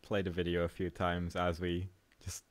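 A man's voice: low voice, monotone, moderate pace, no room sound. A man, an amateur voice actor, talking casually between takes.

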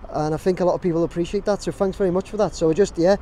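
A man in his thirties talks casually into a camera held at arm's length outdoors, with a fairly high voice that still sounds mellow and dark. He rambles and keeps restarting his sentences. Faint street noise sits behind him. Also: fairly even intonation, rapid-fire. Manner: rambling, many fillers and restarts. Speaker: drone videographer.

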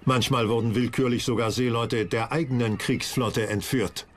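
A man's voice: mid-range voice, steady tone, moderate pace, slight background noise. A man reading scripted documentary narration.

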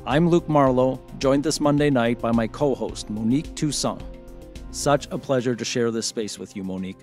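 A man's voice: mid-range voice, moderate pace, darkish tone, soft background music, natural voice. An actor playing a composed male radio news host, presenting on air in an audio drama. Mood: composed, serious.

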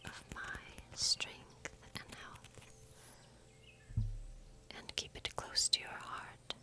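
A young woman voicing a kind, curious forest witch in breathy, whispered ASMR fantasy roleplay, telling stories gently and without hurry, close to the microphone. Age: young adult. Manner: gentle, unhurried, theatrical storytelling. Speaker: ASMR performer.

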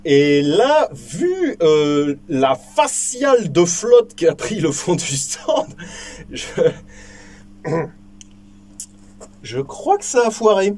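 A young man hosting a casual vlog from a small indoor shooting booth, joking, swearing freely and filling pauses with lots of ums. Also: sits high, big pitch swings, darkish tone. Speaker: firearms video host.

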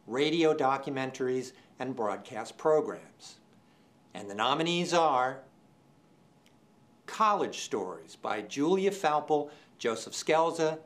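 A man in his sixties speaking as a presenter in a formal, measured, scripted style, enunciating deliberately. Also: fairly high pitch, fairly bright tone. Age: 60s. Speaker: department chair presenting awards. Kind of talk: scripted awards show presentation to camera. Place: indoor studio set.

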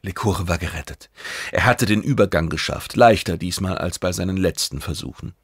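A man's voice: sits low, normal speed, breathy edge, no room sound, studio quality. A man reading a science fiction novel aloud as scripted dramatic narration. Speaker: audiobook narrator.

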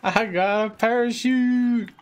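A man's voice: very high voice, steady tone, slow, slight background noise. A man chatting casually as a gaming partner. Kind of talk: casual chat.

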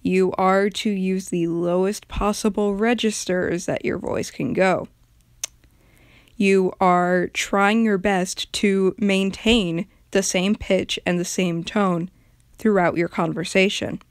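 Woman doing a cold, monotone cult priestess. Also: takes it slowly, clear, resonant tone, no echo, studio quality. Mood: cold, instructive. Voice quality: low register, quiet. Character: solemn, intimidating, emotionless female religious zealot.

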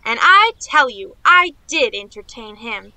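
A woman's voice: a little high, big pitch swings, unhurried, pushed and bright, clean tone, no echo, exaggerated voice, poor audio. A woman playing a naive, eager young niece in a radio play, chattering on in rambling run-on sentences. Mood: eager, chatty.

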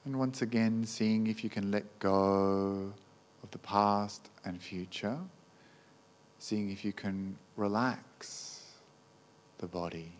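Slow, low-pitched male meditation guide. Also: fairly even intonation, a little hiss or hum. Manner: gentle, unhurried, with long pauses between short phrases. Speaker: meditation teacher, Buddhist monk.

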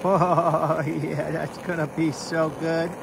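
Man, 30s, unhurried outdoor vlogger, slightly high voice, relaxed chatty delivery. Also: creaky, noisy background. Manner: relaxed, savoring food, chatty asides to viewers. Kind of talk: casual chat to camera. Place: outdoors at a snowy winter campsite at night.

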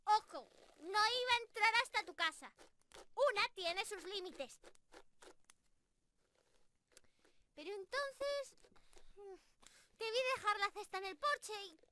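A very high, bright voice of a young squirrel girl for an ASMR roleplay. She is sweet, excitable and a little thieving, speaking playfully and pleadingly right to the listener. There is faint noise behind her. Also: exaggerated voice. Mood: excited, playful. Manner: playful, genki, pleading and apologetic.

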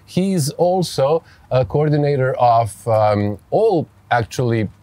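Serbian guy hosting a podcast outside by a pool, lots of uh and um, dry close sound, middle pitch. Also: expressive, takes it slowly, dark tone, no room sound. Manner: hesitant, searching for words, filler-heavy. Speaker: podcast host. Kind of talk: casual podcast interview. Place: outdoors beside a pool.

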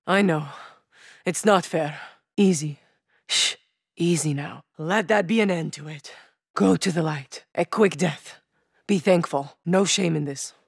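An actress voicing a fierce young female soldier in a video game, delivering short combat callouts, shouted warnings and quiet, steady lines. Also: sits low, slightly rough, no room sound, studio quality, heavily acted. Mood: low, solemn. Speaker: playable game operator.

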